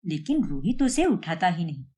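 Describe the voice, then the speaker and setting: A woman's voice: lowish voice, sing-song, normal speed, dark tone. A woman storyteller delivering scripted narration for a story.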